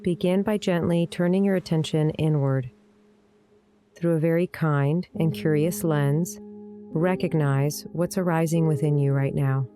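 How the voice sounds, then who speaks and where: Low, mellow woman guiding a meditation. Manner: gentle, soothing, unhurried delivery with deliberate pauses. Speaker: meditation guide, yoga teacher. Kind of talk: guided meditation, scripted narration. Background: soft instrumental music.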